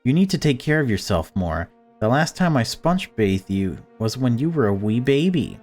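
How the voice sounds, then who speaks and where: A man's voice: mid-range voice, very animated, normal speed, a little mellow, faint music behind. A man voicing a deluded, self-pitying mother who dotes and snaps, reading visual novel dialogue aloud. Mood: doting, self-pitying.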